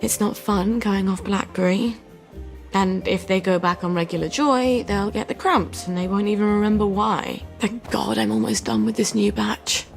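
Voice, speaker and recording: A woman with a British accent playing a brisk, defiant young chemist in a video game, glib and self-justifying. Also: medium pitch, gravelly, faint music behind, close to an everyday voice. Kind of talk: video game character acting. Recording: roomy echo with background noise.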